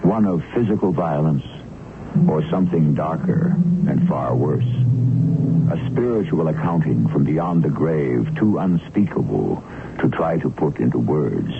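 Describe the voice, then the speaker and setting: Very deep, gravelly male mystery host. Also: animated, noisy background. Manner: ominous, deliberate, theatrical. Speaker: host of a radio mystery series. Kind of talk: suspenseful scripted radio drama narration. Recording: muffled vintage radio recording. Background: loud music.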